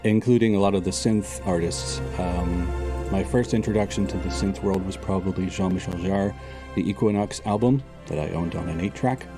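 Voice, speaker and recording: A man in his forties, a composer talking about his past in measured, reminiscing answers with soft fillers. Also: low-pitched. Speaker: composer guest. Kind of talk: recorded interview answers. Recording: music clearly heard behind voice. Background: synth music playing.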